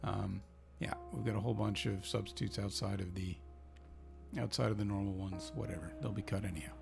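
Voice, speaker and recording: Icelandic guy talking through his football manager game, low gravelly voice, music under it. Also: steady tone, a little fast. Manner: chatty, thinking aloud, cheering his team on. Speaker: gaming channel host.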